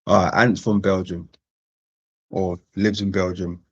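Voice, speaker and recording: A man hosting a live-streamed chat with a husky, somewhat low voice. He is laid-back, loops back on his own phrases and tosses out slangy greetings. The sound is dull and lo-fi. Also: level tone, measured pace. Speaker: host of a live-streamed panel. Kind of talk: casual chat, greeting viewers.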